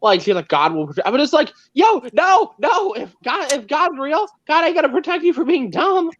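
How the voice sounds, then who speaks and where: A young man in casual livestream chat, excitable and rambling. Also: very high voice, normal speed. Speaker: livestream co-host. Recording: dull dry mic.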